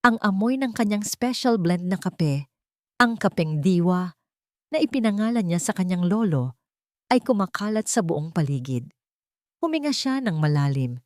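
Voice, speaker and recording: A woman's voice: on the low side, lively intonation, moderate pace, a little mellow, no echo, clean studio sound. A woman narrating a scripted dramatic story.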